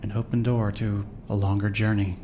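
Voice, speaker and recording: A man's voice: on the low side, little pitch movement, normal speed, noisy background, natural voice. A man reading scripted second-person narration for a fan-made audio drama.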